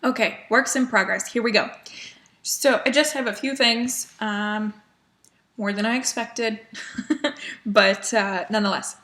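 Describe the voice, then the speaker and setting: A woman's voice: fairly high pitch, fairly even intonation, unhurried, pauses often, fairly bright tone. A woman chatting casually as a cross-stitch vlogger, a little sniffly from a cold, with lots of ums and small laughs. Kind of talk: casual chat.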